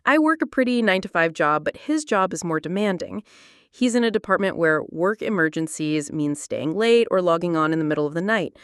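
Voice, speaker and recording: Animated woman narrating a confession. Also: mid-range voice, clean tone, dry recording, clean studio sound. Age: late 20s. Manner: confessional, lively storytelling. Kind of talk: first-person storytelling narration.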